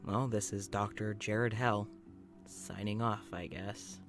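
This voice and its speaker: A man's voice: lowish voice, steady tone, slow pace, music in the background. A man playing a weary, rambling doctor in an audio-drama journal, musing aloud in a hesitant, self-conscious way. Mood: tired, resigned. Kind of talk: intimate audio-journal monologue in a fiction podcast.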